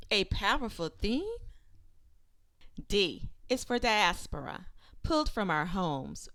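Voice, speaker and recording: Slow, expressive woman reading aloud. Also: medium pitch, no room sound. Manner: deliberate, emphatic, dramatic phrasing with pauses. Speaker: teacher reading a children's book aloud. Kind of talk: expressive read-aloud of a rhyming children's picture book.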